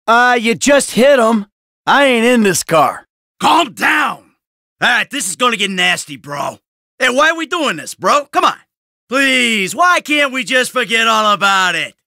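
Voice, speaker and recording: An actor with an American accent playing a jaded, hot-tempered middle-aged criminal in a video game, sardonic, profane and exasperated. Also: male voice, very high voice, sharp tone, no room sound, studio quality, full character voice. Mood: apologetic.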